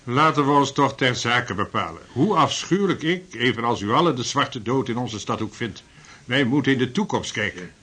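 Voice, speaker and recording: An actor playing a pragmatic gentleman merchant in a period radio drama, formal and pointed in speech. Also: mid-range voice, expressive, normal speed, slightly rough. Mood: level-headed. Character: pragmatic, forward-looking gentleman merchant, politely sharp.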